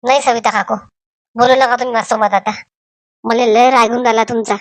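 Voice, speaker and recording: A woman's voice: a little high, steady tone, brisk, no room sound, lightly acted. A woman voicing gossipy, scheming village women in a Marathi comedy cartoon, with a Varhadi accent from Vidarbha and a colloquial, dramatic way of talking. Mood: aggrieved.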